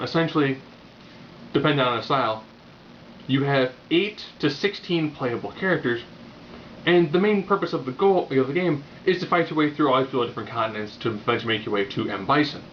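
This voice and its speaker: A man's voice: mid-range voice, animated, a little fast. Man reviewing a movie, muffled noisy recording. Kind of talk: casual opinionated video review. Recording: muffled lo-fi audio with background noise.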